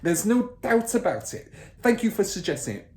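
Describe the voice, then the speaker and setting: A man's voice: high voice, sing-song, normal speed, darkish tone, pitched above the performer's own voice. A man voicing a fierce, bullying middle-aged headmistress in a children's storybook, barking and snorting with scorn. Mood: furious, overbearing. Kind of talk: children's storybook character reading.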